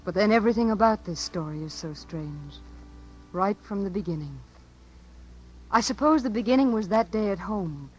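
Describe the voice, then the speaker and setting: A woman's voice: mid-range voice, lively intonation, normal speed, a little mellow, muffled recording, natural voice. An actress playing an earnest, restless small-town girl in a 1950s radio drama. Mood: wistful, intimate. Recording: faintly noisy 1950s radio broadcast.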